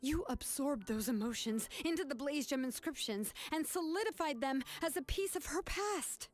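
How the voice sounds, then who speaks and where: A woman voicing a calm, firm young woman who confronts a grieving aunt in earnest, measured speech. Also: high-pitched, faint music behind.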